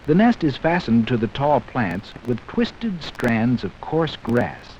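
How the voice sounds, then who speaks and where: A dull, faintly hissy 1960s film soundtrack carries a man's narration. His pitch is mid-range and moves in lively swings. He explains things to young viewers in a measured, scripted educational style.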